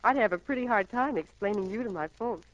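A woman's voice: mid-range voice, expressive, brisk, close to an everyday voice. An actress playing a coy, sweet, sheltered young woman in 1940s radio drama style. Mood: shy, smitten. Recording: dull 1940s radio recording, audience noise.

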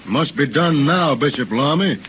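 A man's voice: fairly high pitch, expressive, normal speed, narrow, tinny audio, close to an everyday voice. An actor playing a determined, righteous, plainspoken frontiersman in a hissy 1930s radio drama. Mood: firm, determined.

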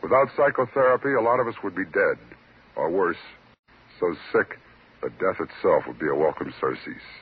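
A man hosting a 1950s radio drama, giving a scripted introduction in a deliberate, wry, formal manner. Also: low voice, slight background noise. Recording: thin, narrow-band vintage radio recording.

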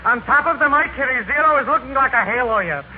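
On a scratchy, narrow-band old radio recording, an indignant pushcart peddler with a New York Yiddish accent. His voice sits very high, and he complains plaintively in twisted syntax, in the manner of 1940s radio comedy. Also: lively intonation, fast talker. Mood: aggrieved. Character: aggrieved bearded Yiddish-dialect retired pushcart peddler.

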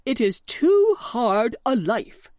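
Woman as a slow, stubborn pumpkin vine. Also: a little high, sing-song, a little mellow, no echo, clean studio sound. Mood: defiant. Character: plain-spoken, stubborn grown pumpkin vine neighbor. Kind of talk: children's storybook character voice.

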